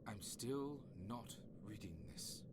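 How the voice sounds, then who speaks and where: An actor playing an ancient, haughty afterlife judge in an audio comedy drama, dry, sarcastic and impatient. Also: male voice, medium pitch, takes it slowly, background noise, natural voice. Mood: irritated.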